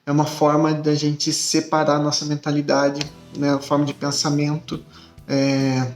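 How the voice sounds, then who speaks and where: A man's voice: fairly high pitch, completely flat delivery, a little slow. Hesitant young man, echoey room. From Brazil. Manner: hesitant, many filler "é" and restarts. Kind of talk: casual self-introduction to camera. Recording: echoey room with faint music.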